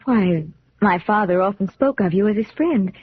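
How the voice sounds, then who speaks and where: Woman as anxious young lady, husky old-radio voice. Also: mid-range voice, normal speed, no room sound, close to an everyday voice. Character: earnest, anxious young woman, bereaved daughter. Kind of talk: 1940s radio acting. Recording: thin phone-like 1940s radio recording.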